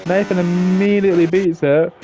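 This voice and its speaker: A man's voice: sits high, little pitch movement, normal speed, warm tone, rough recording. A young man giving live, chatty commentary on an online racing league, thinking aloud and talking to viewers. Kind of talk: live esports race commentary.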